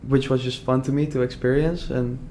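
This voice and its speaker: A man's voice: mid-range voice, steady tone, a little fast, mellow, slight background noise. A young man from the Netherlands talking casually and earnestly in an interview, full of "like" fillers and restarts. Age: young adult. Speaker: entrepreneur guest.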